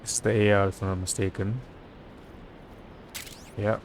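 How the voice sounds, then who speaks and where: A man's voice: fairly low pitch, level tone, unhurried, a little hiss or hum. A man doing live video game commentary as a streamer, casually muttering his thoughts aloud as he plays.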